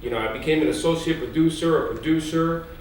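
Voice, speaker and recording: A man from Puerto Rico, a news executive on a conference panel, telling energetic stories and dropping in Spanish phrases. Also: a little high, quick pace. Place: large conference hall. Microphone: distant mic in an echoey hall. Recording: echoey hall recording from far off, faint noise.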